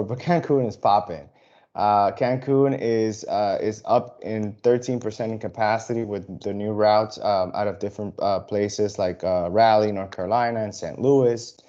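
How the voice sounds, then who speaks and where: A man from the Dominican Republic, an airline sales representative, giving a casual, halting presentation to travel advisors, full of fillers and restarts. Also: lowish voice, dark tone, muffled recording.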